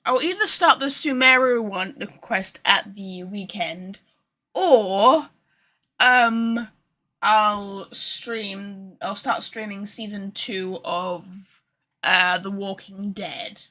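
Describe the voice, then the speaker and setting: A woman's voice: mid-range voice, slow pace, bright, forceful tone. A woman livestreaming a video game, chatting casually to viewers in a rambling, informal, sweary way.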